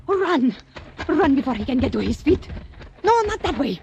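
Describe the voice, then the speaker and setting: A woman's voice: high voice, big pitch swings, normal speed, gravelly, noisy background, heavily acted. An actress playing an alluring, world-weary waterfront woman in theatrical, intimate old-time radio drama style. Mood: urgent, guiding.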